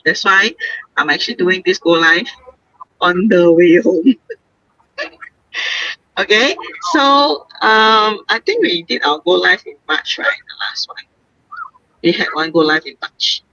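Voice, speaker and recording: A woman's voice: medium pitch, expressive, measured pace, pauses often, sharp tone, no echo, muffled recording. A woman from Singapore hosting a casual live stream, chatty, with many fillers and frequent laughs, talking on her way home. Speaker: host. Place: moving vehicle on the way home.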